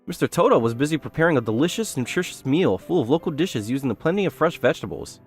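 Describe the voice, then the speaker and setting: An earnest, courteous young male hotel owner, acted by a man for an anime-style manga dub. His voice sits a little high and moves animatedly, with music playing underneath. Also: expressive, fast, husky. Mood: narrating. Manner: polite, earnest. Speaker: narrator and lead character.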